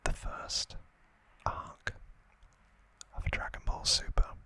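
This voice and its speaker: A man hosting ASMR trivia in a breathy, unvoiced whisper, speaking in drawn-out phrases with frequent pauses, close to the microphone. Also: bright, forceful tone. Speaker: ASMR host.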